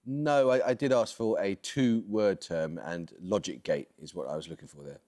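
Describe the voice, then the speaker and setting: A man hosting a television quiz, reading out questions and rulings in a brisk, formal, precise manner. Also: deep voice, mellow. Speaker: quizmaster.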